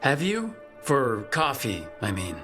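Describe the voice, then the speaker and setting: A man's voice: mid-range voice, wildly swooping pitch, slow, music in the background. An actor playing a direct, wry and concerned male party leader in a video game, asking things straightforwardly. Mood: probing, casual. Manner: probing, straightforward, lightly wry. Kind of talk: video game dialogue acting.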